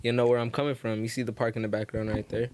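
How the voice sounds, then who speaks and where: Man chatting over clear background noise. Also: mid-range voice, level tone, quick pace, darkish tone. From Salinas, California, United States. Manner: emphatic, repeats phrases, swears. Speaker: podcast guest, local rapper and promoter. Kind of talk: casual slangy chat.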